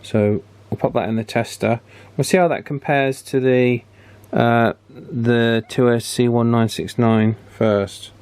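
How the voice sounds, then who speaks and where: A man's voice: medium pitch, steady tone, measured pace, a little mellow, clear, resonant tone. A British man giving casual, hands-on repair commentary, thinking aloud in a matter-of-fact way as he presents. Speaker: radio repair hobbyist presenter.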